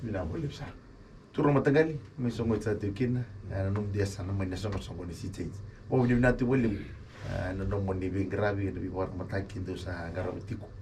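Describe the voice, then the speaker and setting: Very low-voiced radio host. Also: male voice, brisk, dark tone. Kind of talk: radio talk show hosting. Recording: distant mic with clear noise.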